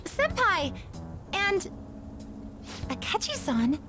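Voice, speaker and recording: A woman's voice: extremely high pitch, wildly swooping pitch, very slow, music in the background, heavily acted. A woman voicing an earnest, polite teenage schoolgirl in a video game, a little hesitant. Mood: surprised.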